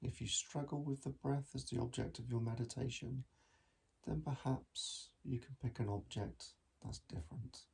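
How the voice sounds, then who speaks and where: Man, meditation teacher, mellow dark tone, gravelly creak, gentle measured phrasing, long pauses. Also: mid-range voice, fairly even intonation. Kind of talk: guided body scan meditation.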